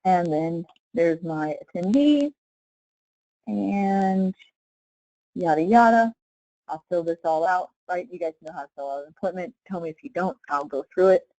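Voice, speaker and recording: A woman leading a casual live software tutorial as a trainer, explaining step by step in an informal way with frequent check-in questions. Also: fairly low pitch, expressive, unhurried, dry recording, muffled recording.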